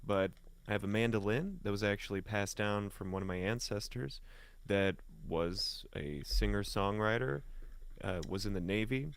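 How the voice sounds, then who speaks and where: An American man from St. Louis telling casual stories as an interview guest, in slangy, animated talk. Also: lowish voice, creaky, dry recording. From St. Louis, Missouri, United States. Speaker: podcast guest, singer and musician. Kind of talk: casual conversational storytelling.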